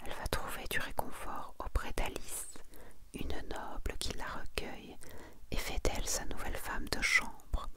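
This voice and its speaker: A woman whispering softly and without hurry in an ASMR style, as she presents and reads aloud. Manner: soft, hushed, unhurried whispering. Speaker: ASMR video creator presenting a manga collection. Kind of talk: whispered ASMR, reading and commenting.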